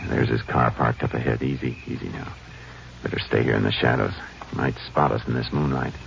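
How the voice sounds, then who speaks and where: A steady Texas Ranger in a 1950s radio drama. His voice sits extremely low, firm and probing. The old broadcast is muffled, with a faint hiss. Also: brisk, vocal fry, heavily acted. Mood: hushed. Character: calm, steady male Texas Ranger lawman. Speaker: lead and narrator of the drama.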